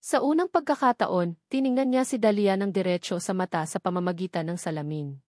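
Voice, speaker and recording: Woman doing dramatic story narration, middle pitch, very dry recording. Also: a little fast, darkish tone, no room sound.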